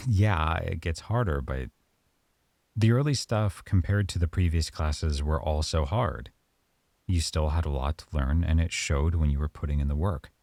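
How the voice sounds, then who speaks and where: A man performing a calm, softly stern professor in an ASMR roleplay monologue, speaking close to the mic. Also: extremely low pitch, moderate pace.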